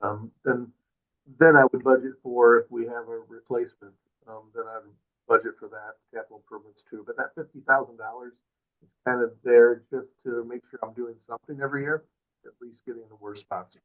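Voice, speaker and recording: A man with an Upper Midwest American accent talks through school facilities budgets in a modest, rambling, down-to-earth way. His voice comes through thin and tinny, as if over a phone line, and he sits at a middle pitch. He is speaking alone in his office. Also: fairly even intonation, fairly quick. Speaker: co-host, school facilities manager. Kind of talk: casual webinar talk.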